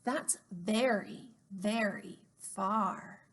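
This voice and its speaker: A woman voicing a big, fond, patient adult male hare, gentle and unhurried, in a children's storybook. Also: mid-range voice, husky, exaggerated voice. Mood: tender, sleepy. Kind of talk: children's storybook read-aloud.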